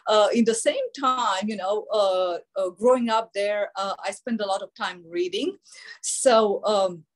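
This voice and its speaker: A woman's voice: mid-range voice, unhurried. A middle-aged woman from Romania with a Romanian accent, presenting on an online panel over a video call, with frequent hesitations. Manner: frequent hesitations with many uh fillers.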